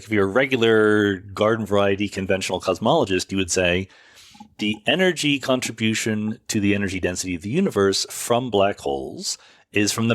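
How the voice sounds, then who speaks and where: Low-pitched man, informal podcast explainer. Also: a bit bright, dry recording, studio quality. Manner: conversational, self-correcting, measured explanations. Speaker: podcast host. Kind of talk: informal explanatory monologue answering listener questions. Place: makeshift recording setup away from home studio.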